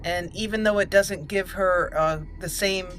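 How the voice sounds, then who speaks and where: A woman hosts a casual roleplay gaming session at an unhurried pace. She thinks aloud deliberately and reads out items from the menus. There is some background noise and game ambience behind her. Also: fairly low pitch, fairly even intonation, a bit bright. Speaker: gaming channel host.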